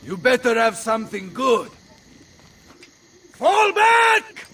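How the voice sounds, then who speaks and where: An actor playing a hot-headed, bawdy Italian mercenary captain in a video game, theatrical and blustering with an Italian accent. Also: male voice, extremely high pitch, huge pitch swings, slow pace, creaky, bright, forceful tone, slightly rough, big-room sound, heavily acted. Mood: brisk, commanding. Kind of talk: video game cutscene acting.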